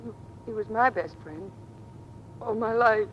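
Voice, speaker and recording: A woman's voice: on the high side, unhurried, muffled recording, natural voice. An actress playing a distraught, brave young woman in an old-time radio drama. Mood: grief-stricken. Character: distraught, brave young sister of an injured airman. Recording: faintly noisy old radio recording.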